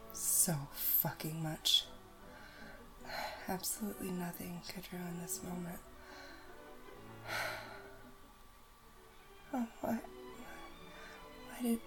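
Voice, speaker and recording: A woman whispering softly and tenderly in an intimate audio roleplay, coaxing and gentle, with music behind her. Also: lowish voice, steady tone, slow pace, long pauses, poor audio.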